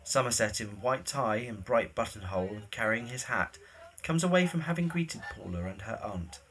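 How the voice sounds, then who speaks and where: A man's voice: on the low side, lively intonation, moderate pace, a bit bright. A man reading scripted, scene-setting narration for a period drama.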